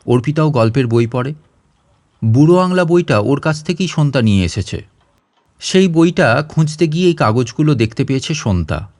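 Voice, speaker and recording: A man's voice: mid-range voice, a little mellow, no echo. A man reading a scripted short story as an audio narrator.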